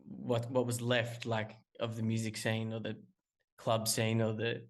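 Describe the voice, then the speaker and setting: A man's voice: lowish voice, completely flat delivery, a little slow. A man from Australia, a musician guest calling in remotely, talking casually in long rambling answers full of restarts and fillers. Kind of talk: casual interview talk.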